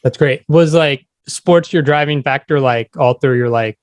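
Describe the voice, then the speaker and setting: Guy podcast host, medium pitch, casual chatty questions, kinda halting. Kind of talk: casual interview chat.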